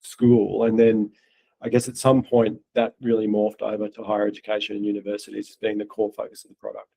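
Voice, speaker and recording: Gravelly man hosting. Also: fairly low pitch, monotone, fairly quick, mellow, poor audio. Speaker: interview host, portfolio manager. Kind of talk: scripted intro, then interview questions.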